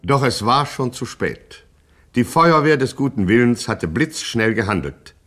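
Guy narrating an old-style comedy radio drama, mock-epic, medium pitch, music under him. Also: lively intonation, a little slow, fairly bright tone.

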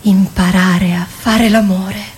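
A woman's voice: mid-range voice, steady tone, slow pace, slight background noise, close to an everyday voice. An actress in a radio play voicing a traumatised captive young mother, sorrowful, pleading and stark. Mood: sorrowful, longing. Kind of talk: theatrical radio play monologue.

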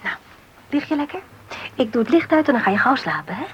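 An actress playing a caring, brisk mother who coaxes her son in a Dutch radio play. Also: sits high, sing-song. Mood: soothing. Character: caring, worried mother of a schoolboy. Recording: dull, faintly noisy old radio recording.

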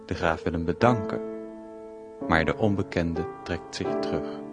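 Deep, slow male radio drama narrator. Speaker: announcer and narrator. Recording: narration over loud piano music.

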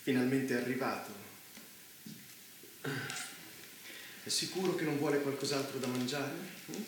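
Actor as controlling, formal host in echoey space. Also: male voice, mid-range voice, close to an everyday voice. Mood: insistently hospitable. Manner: formal, deliberate, with probing questions and pauses. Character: controlling, formal, condescending male host of an underground bunker. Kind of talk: live theatre dialogue performance. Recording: very echoey room, faint noise.

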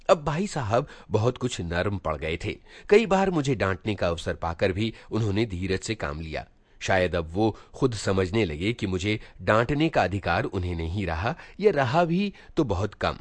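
A man reading a literary short story aloud as an expressive narrator. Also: fairly low pitch, dry recording.

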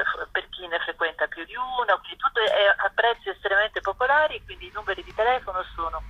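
A woman explains things as an interview guest on a muffled phone line. Her voice is bright and husky with some fry. She speaks spontaneously, pausing to search for words. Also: on the low side. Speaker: festival curator, interview guest.

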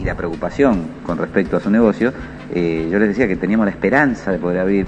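Dull-sounding low Argentine man, hesitant official. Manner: hesitant, repeating words. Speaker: municipal inspections director. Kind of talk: spontaneous press statement. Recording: dull lo-fi audio, faint music. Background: faint music.

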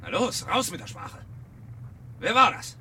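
Sharp, sneering male villain. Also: sits high, huge pitch swings, unhurried, a little hiss or hum, heavily acted. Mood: sneering interrogation. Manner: mocking, needling, theatrical. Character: sneering, taunting fire chief, theatrical and menacing male villain. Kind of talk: 1970 German radio play acting.

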